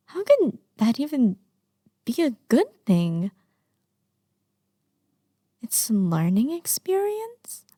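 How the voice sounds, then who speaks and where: An obsessive, manipulative young woman in love, acted by a woman for an intimate audio roleplay. Her voice is fairly high yet mellow, and she takes her time, drifting into breathy whispers. The sound is dry and close, as if she is speaking only to the listener. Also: no echo, exaggerated voice. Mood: challenging, intense. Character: lovesick, obsessive, manipulative young woman under arrest, a yandere.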